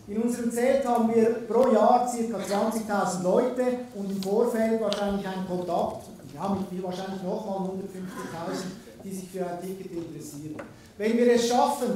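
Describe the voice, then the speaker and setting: A man's voice: high-pitched, mellow, recorded from a distance, a little hiss or hum. A man with a Swiss German accent giving a conference keynote in a conversational way, with frequent filler sounds. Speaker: keynote speaker. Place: large conference hall. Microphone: distant room recording. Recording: echoey hall, from afar.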